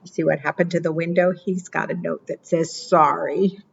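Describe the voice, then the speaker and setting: A woman librarian reading picture books aloud to preschoolers, with expressive, animated delivery, asking the children questions and praising their answers. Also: moderate pace, vocal fry, slightly rough, muffled recording. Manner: expressive, animated read-aloud with playful pitch jumps.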